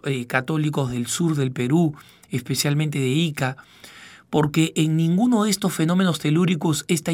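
A middle-aged man from Peru, raised in Argentina, hosting a reflective radio commentary in a measured, halting way as he searches for words. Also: fairly high pitch. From born in Peru, raised in northwestern Argentina. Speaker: radio program host, news agency director.

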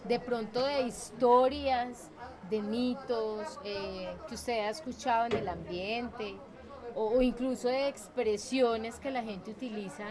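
Colombian lady asking questions slowly, kinda high voice, searching for words, market crowd around her. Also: steady tone. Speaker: interviewer. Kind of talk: casual street interview. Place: public market. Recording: busy market crowd noise.

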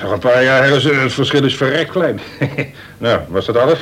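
An actor playing a gruff, exasperated middle-aged colonel who governs a prison, blustering wearily in a Dutch radio play. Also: male voice, medium pitch, expressive, a little fast, a bit bright, natural voice. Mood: brisk, dry. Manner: gruff, weary, blustering authority. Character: grumpy, exasperated grey-haired male prison governor, a colonel.

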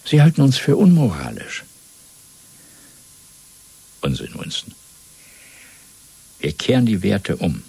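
A man playing a cold, fanatical Party interrogator in a radio drama, speaking in a measured, domineering, rhetorical way. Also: on the low side, huge pitch swings, vocal fry, warm tone, slight background noise, exaggerated voice. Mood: dismissive, assured. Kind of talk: German radio drama acting.

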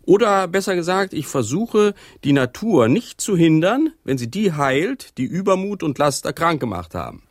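A man's voice: a little high, very animated, normal speed, few pauses, dry recording, studio quality. A man playing a blunt, scornful English country physician who declaims sardonically in a German radio drama. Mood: dry, scornful.

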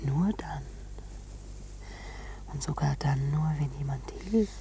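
Slow, domineering seductress. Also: female voice, deep voice, a little hiss or hum. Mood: coaxing, intimate. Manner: slow coaxing whispers, sharp commands. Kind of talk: German radio play acting.

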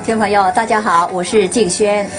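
A woman's voice: medium pitch, fairly even intonation, a little fast. A woman speaking as the host of a radio show. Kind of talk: radio farewell show hosting. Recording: music behind the voice. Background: background music.

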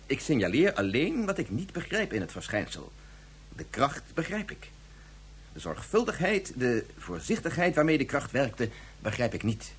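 An actor playing an earnest scientist in a science fiction radio drama, arguing in a formal, deliberate way. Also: male voice, mid-range voice, sing-song, husky. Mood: puzzled, firm. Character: earnest male scientist and father, insistent, methodical.

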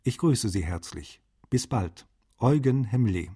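A husky, mellow, cordial translator. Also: male voice, lowish voice, animated, a little slow, heavily acted. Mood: cordial, frank. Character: cordial literary translator writing to a colleague. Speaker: voice actor reading letters. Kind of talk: dramatised letter reading, German radio documentary.

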